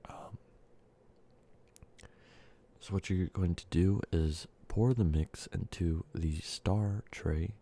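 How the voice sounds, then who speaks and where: Deep gravelly man whispering, congested. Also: takes it slowly, long pauses. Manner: soft speaking drifting into whispers, rambling with fillers. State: sick and congested, short of breath. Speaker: ASMR creator. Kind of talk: soft-spoken ASMR candy-making ramble.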